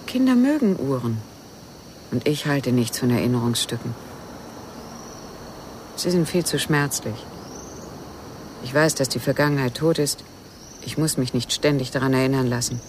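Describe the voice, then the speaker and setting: An actress playing a guarded, wistful widow who speaks evasively and intimately in a German radio crime drama. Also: female voice, rumbling low, animated, normal speed, long pauses, background noise. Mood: guarded, melancholy.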